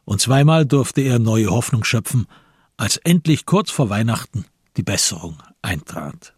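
A man narrating a radio crime play in a husky voice, with measured, literary storytelling. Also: on the low side, animated, darkish tone, no echo, clean studio sound. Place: dry radio studio.

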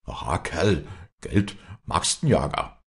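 A man's voice: deep voice, lively intonation, slow. A man playing a brash, stubborn, commanding hunter with a Bavarian-Austrian Alpine accent, curt and challenging, in an audiobook. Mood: taunting. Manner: curt, challenging, gruff dialect. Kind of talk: dramatic audiobook character dialogue.

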